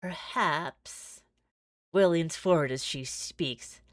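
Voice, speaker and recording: A woman livestreamer reading a visual novel aloud with casual asides, expressive and giggly, often stumbling and restarting. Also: sits low, takes it slowly, no echo.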